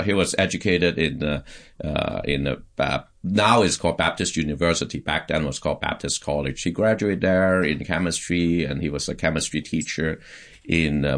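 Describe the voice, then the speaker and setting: Very deep-voiced man, halting speech. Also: a bit bright. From Hong Kong. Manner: halting, many fillers and restarts. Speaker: pastor and guest. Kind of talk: conversational interview.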